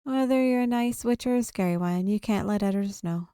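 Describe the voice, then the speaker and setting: A woman's voice: mid-range voice, a little fast, mellow, smooth, no echo, studio quality. A woman streamer reads visual novel text aloud expressively, dropping in casual asides. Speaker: video game streamer. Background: game music.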